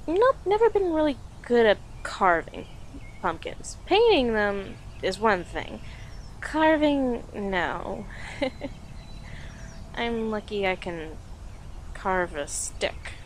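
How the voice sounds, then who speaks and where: A woman's voice: medium pitch, very animated, a little hiss or hum, heavily acted. A woman playing a playful, affectionate young girlfriend on a date in an intimate, conversational audio roleplay, with a teasing manner. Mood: casual, teasing. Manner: teasing, giggly, conversational with pauses.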